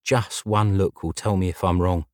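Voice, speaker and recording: A man's voice: sits low, fairly even intonation, moderate pace, no echo, studio quality, close to an everyday voice. A man voicing a nervous male visitor with a trembling delivery, trying to mask his accent. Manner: hesitant, trembling, trying to sound composed.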